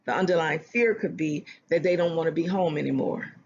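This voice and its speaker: A speaker giving earnest relationship advice in a self-help talk, often restarting phrases and slowing down to stress a point. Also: mid-range voice, highs cut off, slight background noise. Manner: earnest, repeats and restarts phrases, slows for emphasis.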